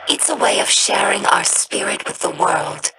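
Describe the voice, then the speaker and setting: An actress voicing a young female alien scavenger in a video game, speaking in a calm, measured, thoughtful way. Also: medium pitch, heavy background noise, natural voice. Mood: earnest. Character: young female four-armed alien scavenger, earnest and reflective.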